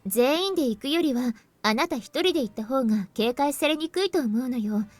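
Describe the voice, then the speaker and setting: A woman's voice: high-pitched, animated, racing, no echo. A woman playing a composed young female team leader in a Japanese visual novel, explaining things politely and with purpose. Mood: composed, purposeful.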